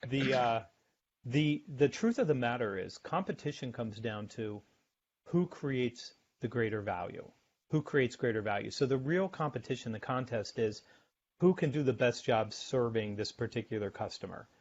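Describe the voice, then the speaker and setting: A man's voice: medium pitch, animated, darkish tone, slight background noise. American guy, middle aged, talks sales strategy on a podcast, repeats himself a bit, dull audio. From United States. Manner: explanatory, measured, repeats words while thinking. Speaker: author and sales expert guest. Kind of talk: podcast interview.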